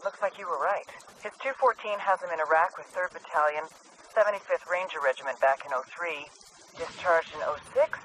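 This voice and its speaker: A man playing a brisk, tough intelligence handler with an American accent in crisp, no-nonsense game radio dialogue. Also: fairly high pitch, pushed and bright, a little hiss or hum, low-quality recording. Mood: brisk, businesslike.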